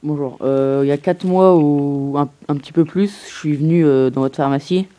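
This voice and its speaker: A man places a prank phone call as a disgruntled customer. His voice sits a little high and is soft-edged and mellow. He complains haltingly, with plenty of hesitation. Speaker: prank caller.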